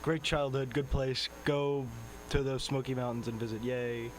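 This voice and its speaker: A man's voice: medium pitch, flat delivery, moderate pace, slight background noise, rough recording. A man from Tennessee chatting casually as a band member being interviewed in a radio studio. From Tennessee, United States. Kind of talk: casual chat.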